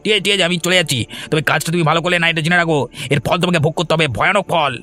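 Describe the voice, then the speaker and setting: A man's voice: a little high, steady tone, racing, bright, forceful tone, faint music behind. A man voicing a greedy, resentful village villain in a cartoon, grumbling and menacing. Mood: defiant, threatening.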